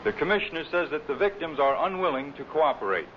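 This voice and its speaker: Fairly high-pitched male announcer, dull old radio. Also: expressive, quick pace. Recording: dull old radio recording, faint noise.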